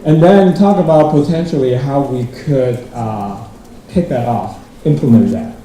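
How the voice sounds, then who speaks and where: A man's voice: mid-range voice, measured pace, warm tone, a little hiss or hum. A middle-aged man from Hong Kong giving a rambling, self-deprecating conference talk, restarting his sentences often. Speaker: guest speaker. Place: large lecture hall. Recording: echoey hall from far off.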